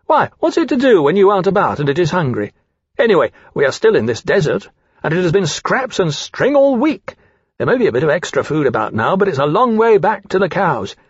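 A grumbling, greedy storybook dragon put on by a man rattles along quickly in a raised voice. He is blunt, brash and full of practical complaint. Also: high-pitched, very animated, husky, dry recording, highs cut off, voice pushed up, heavily acted.

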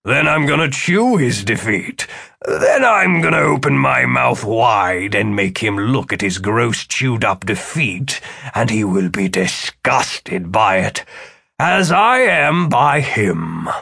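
A man voicing a bitter male wizard in an audiobook, dry, deliberate and emphatic in his sneering. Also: medium pitch, very animated, creaky, pushed and bright, breathy edge, full character voice. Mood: vengeful relish.

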